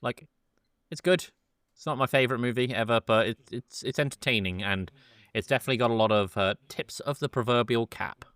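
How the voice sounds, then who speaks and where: A man's voice: medium pitch, brisk, a bit bright, smooth, no room sound. A man co-hosting a film podcast, informally steering the chat and laying out facts.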